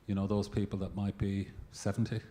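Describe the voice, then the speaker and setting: A man's voice: fairly low pitch, flat delivery, a little fast, dark tone, a little hiss or hum. An older man in his sixties asking a reflective, hesitant question from the audience. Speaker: audience member. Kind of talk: question from the floor.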